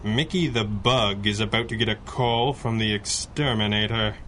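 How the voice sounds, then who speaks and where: An actor playing a composed, wry crimefighting boss in a 1930s-style radio adventure, speaking with dry understatement. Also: male voice, fairly low pitch, normal speed, loud music behind the voice, lightly acted. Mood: dry, businesslike. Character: suave, wry masked hero, male crimefighting boss.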